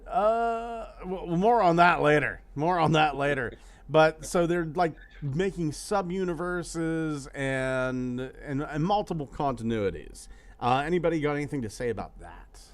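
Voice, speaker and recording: Grumbling middle-aged podcast host. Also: male voice, a little high, a little slow. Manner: grumbling, profane, animated storyteller. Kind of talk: casual podcast chat.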